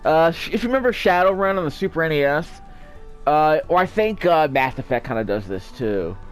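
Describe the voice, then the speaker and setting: A man's voice: on the high side, lively intonation, brisk, smooth. A man casually commentating on a video game as its host, rambling off into asides. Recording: game music behind. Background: video game music.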